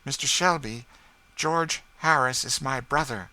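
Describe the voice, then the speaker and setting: Man voicing a high, eager, refined woman. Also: a little slow, sharp tone, rough recording. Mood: overcome. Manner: eager, earnest, urgent. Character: proud emotional refined woman, eager for news of lost brother. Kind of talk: audiobook character dialogue.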